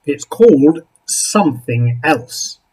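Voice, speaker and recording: Animated man reading a children's story, husky voice. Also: a little high, low-quality recording. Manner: animated, with dramatic pauses and asides to young listeners. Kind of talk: expressive read-aloud of a children's picture book.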